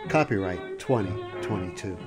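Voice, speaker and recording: A man's voice: deep voice, lively intonation, normal speed. A man reading a scripted narration as a podcast host. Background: music.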